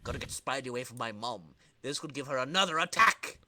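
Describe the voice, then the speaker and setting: A man acts out a cocky skateboarding comic-book villain out for revenge. The voice is bright and animated, full of slangy swagger, and recorded dry. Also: mid-range voice, fast talker, dry recording, voice pushed down. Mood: worried. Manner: swaggering, slangy tough talk, dramatic reading.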